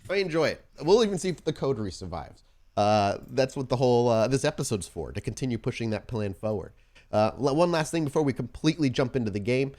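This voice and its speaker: A man hosting and narrating a tabletop roleplaying podcast as storyteller, informal in chat and deliberate when setting scenes. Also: mid-range voice, expressive, a little mellow. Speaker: podcast host and game storyteller.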